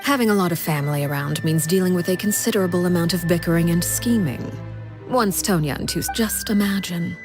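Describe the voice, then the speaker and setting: A formidable, composed woman in charge, played by a voice actress for a video game. Her voice sits low, and she speaks formally and dryly with cool menace, over prominent music. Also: lightly acted. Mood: dryly amused. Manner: measured, formal, dry. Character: composed, formidable woman leading an orphanage organization, coolly menacing.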